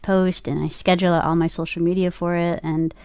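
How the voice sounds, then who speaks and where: A woman's voice: fairly low pitch, level tone, a little fast, a little mellow, smooth, no echo. A woman talking casually as an interview guest, often retelling things by quoting her own thoughts aloud. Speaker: interview guest, voice actor and podcaster. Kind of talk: casual chat.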